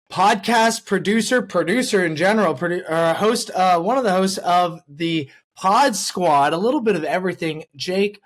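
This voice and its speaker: High-voiced man hosting a sports podcast. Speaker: podcast host. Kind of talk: casual podcast intro and ad reads.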